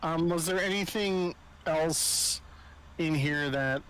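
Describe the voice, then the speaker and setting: A man's voice: fairly high pitch, slow pace, fairly bright tone, clean tone, poor audio. A man playing a tabletop game, casually thinking aloud in hesitant fragments. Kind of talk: casual group game chat. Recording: faint background noise.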